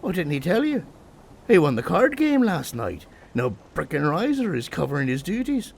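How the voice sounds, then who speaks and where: Animated, easygoing ship's captain. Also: male voice, on the high side, moderate pace, vocal fry, slight background noise, heavily acted. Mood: amused. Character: easygoing older male ship's captain, fond and teasing. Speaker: actor playing a ship's captain. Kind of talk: period audio drama acting.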